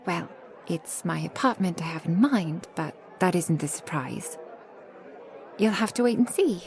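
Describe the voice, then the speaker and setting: A woman voicing an affectionate, protective ancient vampire woman in a soft, intimate ASMR roleplay, with genteel old-fashioned phrasing. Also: on the low side, expressive, measured pace, a faint crowd. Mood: playful, inviting.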